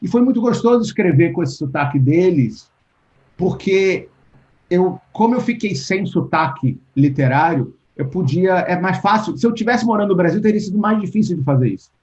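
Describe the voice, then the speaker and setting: A Brazilian man with a fairly high but mellow voice, heard over dull, lo-fi call audio. He talks slowly and reflectively, restarting sentences and acting out old conversations as he goes. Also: expressive. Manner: thoughtful, restarts often, acts out quoted exchanges. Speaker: interview guest, writer. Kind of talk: casual interview talk.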